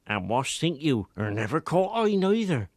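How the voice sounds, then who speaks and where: Stubborn old Wiltshire villager, pitched up. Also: male voice, very animated, moderate pace, no room sound, studio quality. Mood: gleeful reminiscing. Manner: rustic dialect, boastful. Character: obstinate very old male villager, rustic storyteller. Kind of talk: dramatised audiobook character acting.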